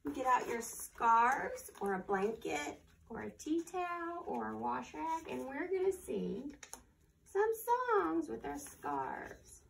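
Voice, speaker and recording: A woman hosting a children's storytime, casually giving simple, slightly halting instructions to families watching at home. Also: high voice, very animated, vocal fry, far from the mic, a little hiss or hum, poor audio.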